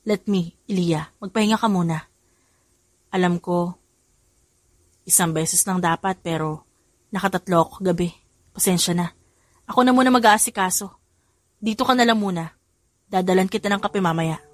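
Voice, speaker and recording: A woman's voice: lowish voice, moderate pace, pauses often, dry recording, close to an everyday voice. A woman voicing a teasing, affectionate young man in love, with a Filipino accent, in an audio romance story. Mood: caring, apologetic. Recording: music behind the voice.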